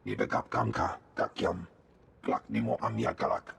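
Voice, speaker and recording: An actor playing a gruff, gravelly alien officer who speaks an invented tongue in short clipped bursts for a video game. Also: male voice, very deep voice, expressive, moderate pace, fairly bright tone, heavily acted. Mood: urgent, gruff.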